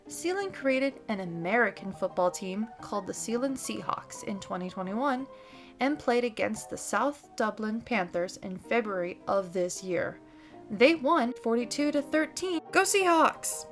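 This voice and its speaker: A woman narrating a documentary from a script. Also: mid-range voice.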